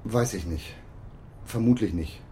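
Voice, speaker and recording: An actor playing a nervous, defensive call centre worker, hesitant and colloquial, in a German radio crime drama. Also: male voice, fairly low pitch, unhurried, mellow, a little hiss or hum, lightly acted. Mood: uneasy, evasive.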